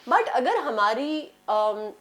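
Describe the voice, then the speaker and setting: A woman's voice: a little high, big pitch swings, extremely slow. A woman with a Pakistani accent giving her opinions conversationally as a panel guest, mixing in English phrases. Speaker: talk show guest.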